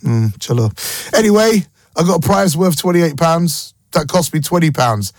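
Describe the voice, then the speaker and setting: A man hosting a morning radio show, telling jokey stories in a casual, slangy, excitable way, his voice a little croaky. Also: a little high, normal speed, no echo, studio quality. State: slightly croaky voice. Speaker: radio breakfast show host. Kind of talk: casual chatty storytelling. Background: background music.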